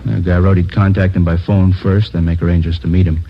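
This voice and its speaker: An actor in a 1950s radio police drama playing a businesslike bunko detective, casual and clipped. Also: male voice, low voice, level tone, quick pace. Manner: casual, clipped, matter-of-fact. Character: brisk, businesslike male bunko squad detective. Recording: muffled, hissy vintage radio recording.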